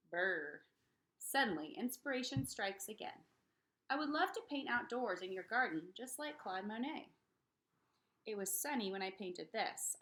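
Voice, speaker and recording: A woman reads a picture book aloud with a high, lively voice that swings widely in pitch. Her delivery is expressive and dramatic, pitched at young children, with a bright, clear edge. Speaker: read-aloud storyteller.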